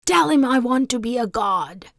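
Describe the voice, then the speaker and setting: A woman's voice: fairly high pitch, expressive, normal speed, gravelly, fairly bright tone, no echo, clean studio sound, pitched above the performer's own voice. A woman voicing an imperious, greedy fisherman's wife in a storybook, commanding and emphatic. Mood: furious. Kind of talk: storybook read-aloud character voice.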